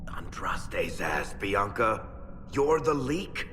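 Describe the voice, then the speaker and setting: A man playing a sardonic, world-weary male dwarf rogue in a video game, with dry, expressive delivery. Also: on the low side, takes it slowly, exaggerated voice. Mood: dismayed, accusing. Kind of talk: video game cutscene acting. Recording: echoey cavern reverb, background noise.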